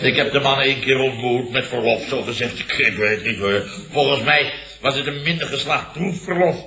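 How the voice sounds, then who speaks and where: An actor playing a flustered, long-winded middle-aged boss who blusters and repeats himself in a radio comedy. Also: male voice, medium pitch, moderate pace, a crowd in the background, lightly acted. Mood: exasperated, rambling. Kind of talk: 1970s Dutch radio comedy acting. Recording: roomy old radio recording, studio audience.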